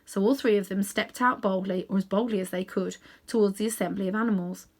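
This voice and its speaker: A woman's voice: medium pitch, fairly even intonation, brisk, darkish tone. A woman reading a storybook aloud, narrating expressively with casual asides to the listener. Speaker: storybook reader.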